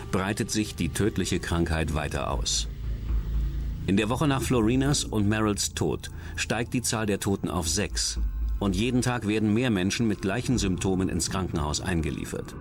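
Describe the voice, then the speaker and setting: A man's voice: lowish voice, expressive, normal speed. A man reading scripted narration as a documentary narrator. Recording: loud music bed under voice. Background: music.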